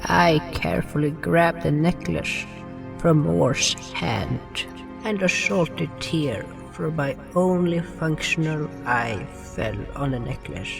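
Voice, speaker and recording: A man voicing a gentle, sorrowful slimy swamp creature, narrating and speaking in a fantasy audio drama in a solemn, deliberate, creaky voice. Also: fairly high pitch, lively intonation, full character voice. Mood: solemn storytelling. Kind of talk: scripted first-person fantasy narration and dialogue. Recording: distant-sounding voice, music underneath. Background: music.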